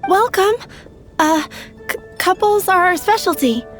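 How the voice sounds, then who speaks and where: Very high-voiced, earnest flower girl. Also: expressive, unhurried, fairly bright tone, full character voice. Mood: polite. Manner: earnest, stammering when nervous. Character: polite, easily flustered young female flower seller. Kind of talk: video game voice acting. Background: faint music.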